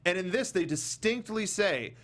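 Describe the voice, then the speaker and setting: A man with a slightly high voice hosts a casual podcast discussion. He rambles through his points in an animated, joking way. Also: normal speed. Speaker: podcast host.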